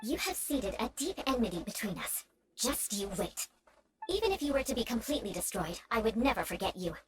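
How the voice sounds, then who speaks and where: Haughty game knight lady, high voice, very lively pitch, vengeful n teasing. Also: a little fast, music in the background. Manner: haughty, theatrical, formal phrasing. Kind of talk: video game voice acting.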